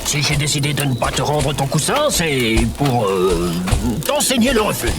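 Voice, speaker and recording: A man's voice: mid-range voice, big pitch swings, moderate pace, very noisy. A man playing a smug, preachy would-be sage in an audio comedy, sententious and pompous. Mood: evasive, pompous. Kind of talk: audio fiction comedy acting.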